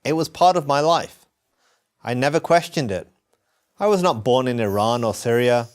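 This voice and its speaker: A British man delivering a scripted personal testimony as narration, earnest and emphatic, with deliberate phrasing. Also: medium pitch, animated. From England.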